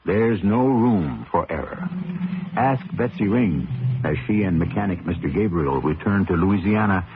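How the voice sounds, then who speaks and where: A man's voice: low-pitched, lively intonation, unhurried, phone-quality sound, background noise. A man hosting and narrating a radio drama in a theatrical, rhetorical manner, on an old broadcast recording. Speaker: host and narrator. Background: music.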